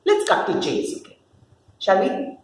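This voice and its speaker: A woman's voice: medium pitch, huge pitch swings. A woman voicing a scheming, jealous serpent with a sneering, melodramatic manner in a dramatic stage play reading. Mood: impatient. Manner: sneering, melodramatic, repeats words, drawn-out phrases. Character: scheming, jealous, theatrical serpent, mocking fallen angel. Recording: roomy echo with clear background noise.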